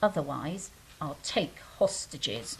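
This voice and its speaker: A woman's voice: low voice, lively intonation, slow pace, vocal fry, a little hiss or hum, exaggerated voice, poor audio. A woman playing a flippant, restless, ever-hungry angel in a comic audio drama, wry and petulant. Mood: petulant, bored.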